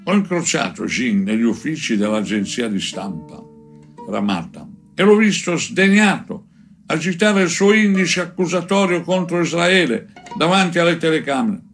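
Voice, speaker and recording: A man reading a first-person chronicle aloud in a measured, deliberate way, with frequent pauses between phrases. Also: fairly high pitch, very animated, vocal fry, fairly bright tone, soft background music. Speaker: reader of a book chapter. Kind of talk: narrated audiobook-style reading of a first-person war chronicle.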